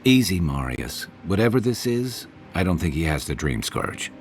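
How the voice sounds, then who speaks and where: A man's voice: deep voice, sing-song, normal speed, slight background noise. A man playing a big, easygoing, protective soldier companion in a video game, with a wry manner. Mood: calm, wry. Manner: wry, drawling, nautical slang. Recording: background game music.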